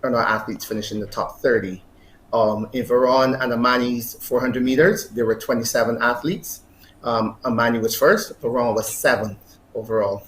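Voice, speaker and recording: A man's voice: medium pitch, moderate pace, fairly bright tone. A man, an athletics coach answering interview questions. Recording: roomy echo, faint background noise.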